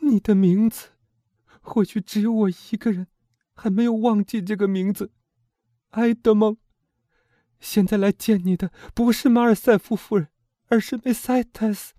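A man voicing a desperate middle-aged noble mother, pleading in a strained, high falsetto. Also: steady tone, moderate pace, pauses often, mellow, dry recording, clean studio sound, lightly acted.

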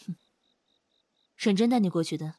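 A woman playing a cool, composed and guarded woman in a Chinese audio drama, speaking with measured restraint. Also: lowish voice, warm tone, no echo, natural voice. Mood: probing, guarded. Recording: music behind the voice.

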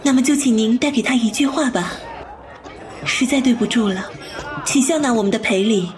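A woman plays a mysterious young wife in an audio drama, with a fairly high voice over faint music. She is gentle and soft-spoken, always courteous. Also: normal speed, a faint crowd, close to an everyday voice. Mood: apologetic, gentle. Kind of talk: Chinese audio drama acting.

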